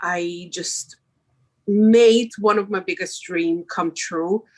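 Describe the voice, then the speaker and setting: A woman's voice: fairly low pitch, steady tone, takes it slowly. Woman being interviewed. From Switzerland. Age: middle-aged. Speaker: podcast guest, makeup artist. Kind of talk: conversational interview answers.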